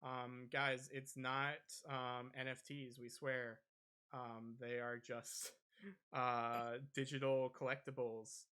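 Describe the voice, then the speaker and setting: A man's voice: mid-range voice, level tone, slow, pushed and bright, smooth. A man hosting a podcast, talking casually and a little hesitantly through gaming news. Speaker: podcast host.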